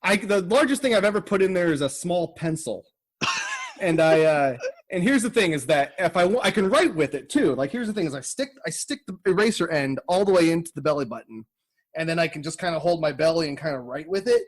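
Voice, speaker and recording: A man with a high, very animated voice chats casually as a comedy podcast host. He rambles along and slips into little imagined quotes for fun. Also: brisk. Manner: rambling, playfully acts out imagined remarks.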